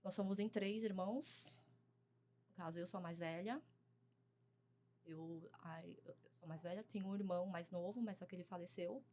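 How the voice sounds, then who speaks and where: A woman in her forties from Brazil, talking as a guest in a casual interview, soft-spoken and hesitant with frequent pauses and fillers. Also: lowish voice, fairly even intonation, a little hiss or hum. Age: 40s.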